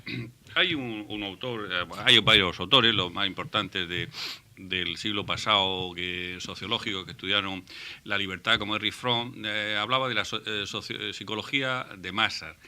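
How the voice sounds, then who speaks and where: A man from Murcia, a panelist on a radio debate show, who talks in a halting way and often restarts his words. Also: on the low side, fairly even intonation, pushed and bright. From Murcia, Spain. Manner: halting, repeats and restarts words while reasoning.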